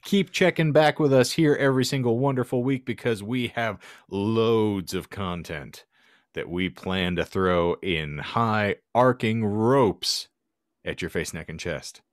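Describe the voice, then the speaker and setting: A man's voice: fairly low pitch, a little slow, dry recording, clean studio sound. A man hosting a casual comedy podcast, joking in mock-grandiose, wordy phrases. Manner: jokey, mock-grandiose wordplay. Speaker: podcast host.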